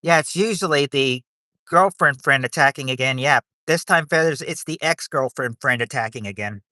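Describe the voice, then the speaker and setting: Guy streaming a quiz game, chatty and rambly, mid-pitched, super dry close sound. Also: normal speed, sharp tone, no echo. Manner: rambling, reads chat aloud and greets viewers. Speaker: livestream host playing a quiz game. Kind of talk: casual livestream chat.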